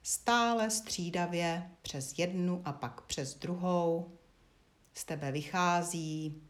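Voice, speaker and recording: A woman instructor guiding a body-awareness lesson, giving short spoken directions with frequent pauses. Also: on the low side.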